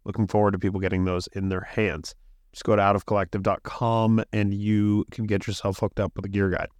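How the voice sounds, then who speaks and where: A chatty male podcast host with a fairly low, smooth voice, excited and full of fillers. He is a bit sick and sniffles now and then. His voice sounds dry and close to the mic. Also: fairly quick, no room sound. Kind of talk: casual podcast intro and interview. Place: office.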